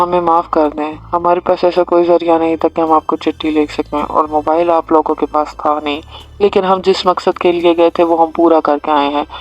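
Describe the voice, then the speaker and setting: A man's voice: fairly high pitch, lightly acted. A man voicing a dutiful, respectful adult son in a family cartoon, earnest and deferential. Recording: dull lo-fi audio.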